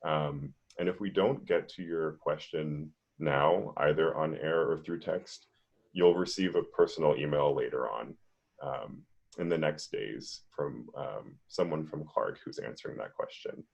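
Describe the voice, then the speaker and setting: A man's voice: very deep voice, measured pace, vocal fry, breathy edge. A man moderating an online panel over a video call, explaining things steadily with frequent "um"s. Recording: muffled, lo-fi video call audio.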